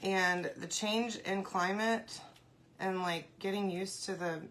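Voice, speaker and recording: A woman hosting a casual craft live stream, congested and often clearing her throat, chatting and greeting viewers by name. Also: medium pitch, fairly even intonation, slow pace, fairly bright tone, a little hiss or hum, low-quality recording.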